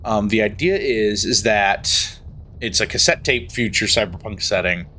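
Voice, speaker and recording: A man's voice: fairly low pitch, measured pace, sharp tone, a little hiss or hum. A young man streaming, chatting casually and thinking aloud as he writes a story. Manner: thinking aloud, trailing off, muttering while typing. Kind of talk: casual livestream chat while writing a story. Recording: faint music in the background.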